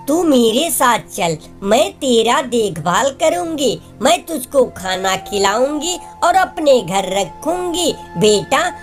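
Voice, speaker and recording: A man voicing a kind old village grandmother who speaks gently and coaxingly in a cartoon story. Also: very high-pitched, voice pushed up, exaggerated voice.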